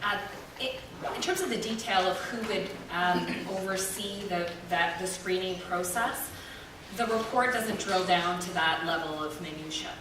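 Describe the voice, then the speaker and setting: A woman on a review team gives measured, careful answers to public questions, pausing often. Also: on the low side, distant mic. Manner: measured and careful, with frequent pauses. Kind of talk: formal answers at a public meeting. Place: large echoey meeting room. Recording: echoey hall audio from afar with noise.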